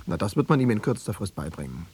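A man's voice: fairly low pitch, sing-song, fast talker, darkish tone, breathy edge, full character voice. An actor playing a cool, pedantic male East secret police analyst, lecturing in in a self-assured way. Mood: self-satisfied analysis. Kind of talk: radio play dialogue.

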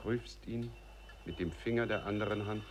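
Radio drama chorus male actor: lowish, solemn, deliberate clipped verse, noisy backdrop. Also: steady tone, close to an everyday voice. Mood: solemn, observing. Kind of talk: fragmented poetic radio drama recitation.

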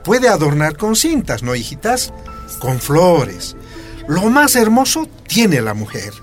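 Slow-talking, expressive Bolivian father. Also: on the high side, faint music behind, heavily acted. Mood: tender, reassuring. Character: loving Bolivian father, stubborn union man, gently reasoning. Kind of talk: radio soap opera acting.

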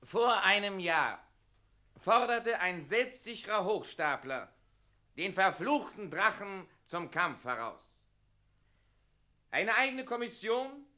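Servile official reading decrees in a radio play, high sharp voice, very declamatory, goes all up and down. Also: male voice, exaggerated voice. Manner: declamatory, ceremonious proclaiming. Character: officious, servile male official presiding over a wedding. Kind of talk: German radio play acting.

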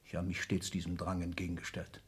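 An actor playing an ailing old industrialist patriarch in a German radio drama, husky, declamatory and commanding. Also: male voice, deep voice, completely flat delivery, fairly quick. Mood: weary disgust.

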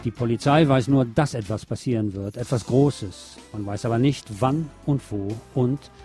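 A man's voice: medium pitch, moderate pace, warm tone, soft background music. A man presenting a scripted film review as a radio host.

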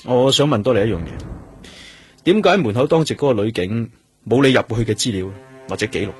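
An actor with a Hong Kong Cantonese accent playing a calm, persistent police detective in a radio drama, speaking in a measured, questioning way. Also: male voice, medium pitch, faint music behind, lightly acted. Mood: pressing, suspicious. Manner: measured, questioning, with noncommittal hums.

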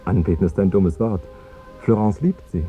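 An actor playing a courteous, guileless fiancé who talks in an eager, earnest and tactless way in a radio drama. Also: male voice, low voice, normal speed, close to an everyday voice. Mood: admiring, earnest. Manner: polite, chatty, earnest. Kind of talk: 1950s German radio drama acting. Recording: dull 1950s radio recording with music.

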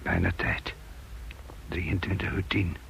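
An actor playing a watchful scout who reports in hushed, terse remarks in a radio play. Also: male voice, low-pitched, very animated, slow pace, gravelly, whispered, exaggerated voice. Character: male scout soldier, watchful, quietly reporting. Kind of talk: Dutch radio play acting.

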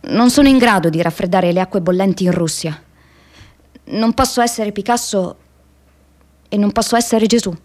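An actress playing a restless, wry young American woman activist in an expressive, introspective radio drama monologue. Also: medium pitch, normal speed, pauses often, natural voice. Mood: earnest, reflective. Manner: expressive, introspective, shifts between playful and wistful.

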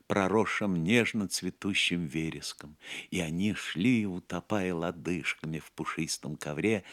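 A man narrating a legend as a scripted audio play, in a measured, literary and theatrical manner. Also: on the low side.

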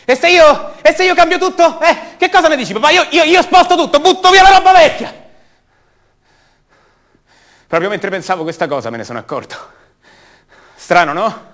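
Halting, uneasy man. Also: extremely high pitch, very animated, fairly bright tone, heavily acted. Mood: agitated, defiant, then uneasy, brooding. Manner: halting, stammering, repeats words. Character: uneasy, brooding grown son, increasingly paranoid and agitated. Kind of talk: radio drama monologue, naturalistic theatrical acting.

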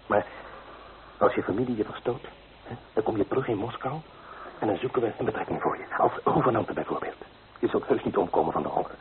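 A man's voice: on the low side, expressive, fast, slight background noise, close to an everyday voice. An actor playing a kindly, fatherly newspaper writer in a radio play, reassuring and paternal in manner. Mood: firm, encouraging. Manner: reassuring, paternal, murmured hms. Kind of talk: Dutch radio play acting. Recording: thin, phone-like old radio recording.

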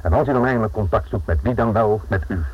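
Stern police inspector, muffled old radio. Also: male voice, fairly low pitch, fast talker, breathy edge. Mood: reproachful, dry. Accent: Netherlands Dutch. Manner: formal, commanding, faintly sarcastic. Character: stern, dryly sardonic male police inspector. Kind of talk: radio drama acting. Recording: muffled, narrow-band old radio recording.

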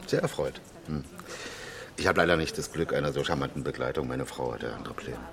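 An actor playing a middle-aged conservative politician in a radio drama, reserved and courteous, speaking in formal, hesitant phrases. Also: male voice, extremely low pitch, fairly quick, a bit bright. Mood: polite, hesitant. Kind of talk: naturalistic radio drama dialogue.

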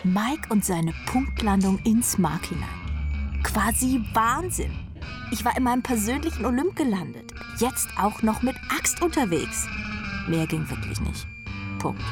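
A woman's voice: medium pitch, lively intonation, unhurried, creaky, over music. A woman acting a wry, reckless rock drummer who narrates her own story in a radio drama with sardonic, clipped asides. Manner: sardonic, clipped asides, often dropping to whisper.

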